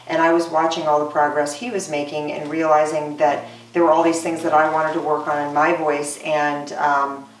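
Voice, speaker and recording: A woman in her forties, a singer, talking earnestly in a casual interview with many pauses and "um"s, recorded roomy from off-mic. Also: deep voice, little pitch movement, recorded from a distance, a little hiss or hum. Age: 40s. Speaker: singer and voice student.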